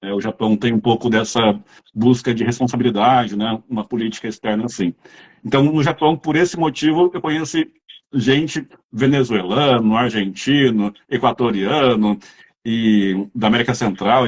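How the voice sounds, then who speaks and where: A man's voice: medium pitch, moderate pace, husky, highs cut off. A man with a Brazilian accent, chatting casually as an interviewer and telling rambling anecdotes. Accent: Brazilian Portuguese.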